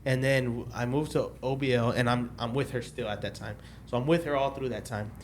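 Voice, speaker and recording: A man's voice: mid-range voice, steady tone, fairly quick, slight background noise. Rambling young man telling his story. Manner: rambling, loses track of dates, many restarts and fillers. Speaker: podcast guest telling his testimony. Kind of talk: casual podcast storytelling.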